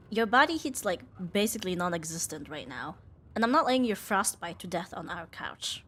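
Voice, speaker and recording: A woman's voice: mid-range voice, fairly bright tone. A woman playing a young tomboy roommate, affectionate but flustered, in an intimate ASMR romance roleplay with a hesitant, teasing delivery. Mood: coaxing, awkward. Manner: hesitant, teasing, breathy sighs and nervous laughs.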